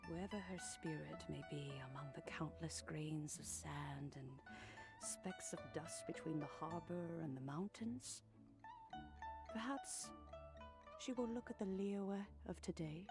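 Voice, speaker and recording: A woman playing a gentle, wistful old granny in a video game, speaking in a genteel, unhurried, reflective way over background music. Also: deep voice. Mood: tender, wistful.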